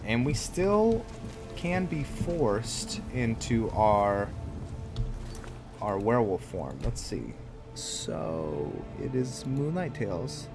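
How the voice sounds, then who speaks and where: A man giving casual game commentary, explaining lore and thinking aloud in a halting way. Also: fairly low pitch. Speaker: gamer narrating his roleplay playthrough. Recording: music behind the voice. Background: game music and game characters.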